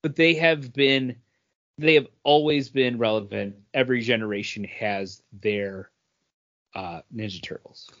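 A man co-hosting a podcast, talking casually and earnestly as a fan, with pauses and emphatic praise. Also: medium pitch, a bit bright, muffled recording. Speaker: podcast co-host. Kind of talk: casual geek-culture panel chat.

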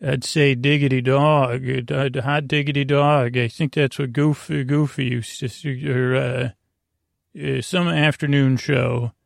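A man hosting a sleep podcast with a halting, meandering, mumbly delivery, rambling whimsically through a bedtime monologue. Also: mid-range voice, steady tone, vocal fry, no room sound, studio quality. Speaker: podcast host.

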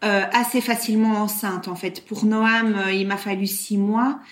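A woman in her thirties from Brussels with a Belgian French accent, talking as an interview guest, often pausing on "euh". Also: medium pitch, fairly even intonation. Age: 30s. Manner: hesitant, many "euh" fillers. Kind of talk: casual interview talk.

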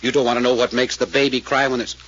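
Commanding male detective actor, clipped and quick, husky mid-range voice, old dull-sounding radio mystery. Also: medium pitch, level tone, fairly bright tone, natural voice. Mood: challenging. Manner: clipped, insistent, authoritative. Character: commanding, shrewd male detective, relentless interrogator. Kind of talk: 1940s radio drama acting. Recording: muffled old radio recording.

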